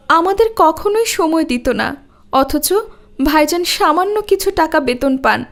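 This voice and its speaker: A woman's voice: very high-pitched, exaggerated voice. A woman voicing a vain, envious married neighbour in a cartoon. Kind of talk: Bangla cartoon dubbing.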